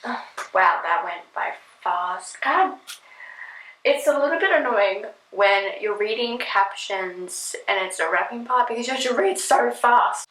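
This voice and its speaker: A woman's voice: mid-range voice, animated, a little slow, a bit bright, a little hiss or hum. Giggly woman reacting, echoey room. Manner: chatty, giggly, filler-heavy. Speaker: reaction video host. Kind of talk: casual reaction commentary.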